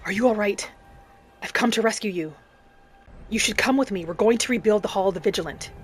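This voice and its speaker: A woman voicing a vengeful young orphaned vampire hunter, narrating a video game in character with earnest drama and breathy asides. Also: medium pitch, a little fast, natural voice. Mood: urgent concern. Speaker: roleplay gamer narrating in character. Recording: music behind the voice. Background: game music.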